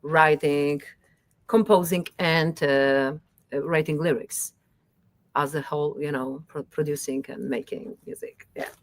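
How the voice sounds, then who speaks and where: A woman whose first language is Polish, talking casually as an interview guest, halting with many fillers. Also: sits low, fairly even intonation. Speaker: musician guest.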